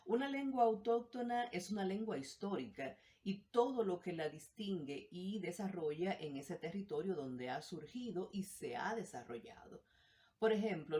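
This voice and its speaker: Low-voiced woman teaching a lesson. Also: darkish tone. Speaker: language teacher. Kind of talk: explanatory lesson.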